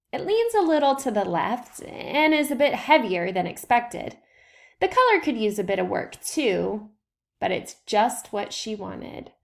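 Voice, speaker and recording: Female storybook reader, unhurried and fairly slow, noticeable vocal fry, expressive emphasis for young listeners. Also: a little high. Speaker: read-aloud reader. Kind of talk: children's picture book read-aloud.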